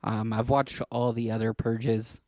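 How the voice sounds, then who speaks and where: A man co-hosting a podcast in casual discussion, speaking hesitantly in halting, trailing clauses. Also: mid-range voice, level tone, dark tone, no room sound. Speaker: podcast co-host.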